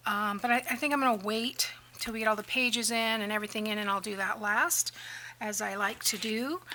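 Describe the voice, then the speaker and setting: A woman hosting a casual crafting tutorial, chatty and rambling, sometimes dropping to a near-whisper, her voice a little hoarse. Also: on the high side, bright, forceful tone, a little hiss or hum. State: slightly hoarse, losing her voice. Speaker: craft channel host.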